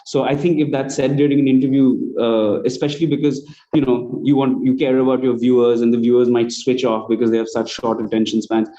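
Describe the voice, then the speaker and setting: A man's voice: medium pitch, level tone, fairly quick, few pauses, warm tone. A man with an Indian accent giving conversational spoken feedback as a judge on an online call. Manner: conversational, acts out example lines. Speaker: judge giving feedback on student audio plays. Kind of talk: spoken critique on an online event. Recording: dull, lo-fi online call audio.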